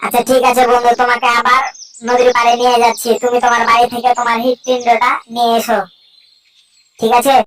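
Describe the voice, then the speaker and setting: A woman voicing a friendly but selfish tiger in a cartoon fable, speaking in a coaxing, measured way. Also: on the high side, monotone, fairly bright tone, a little hiss or hum, natural voice. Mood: matter-of-fact. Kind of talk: cartoon character voice acting.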